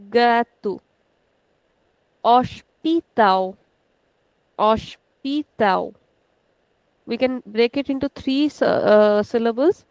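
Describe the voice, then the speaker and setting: A woman with an Indian English accent teaching an online language lesson, slowly repeating words to show their stress. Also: fairly high pitch, clear, resonant tone, no room sound, poor audio. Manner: slow, drawn-out demonstration of pronunciation, repeating words; switches into rapid Hindi asides.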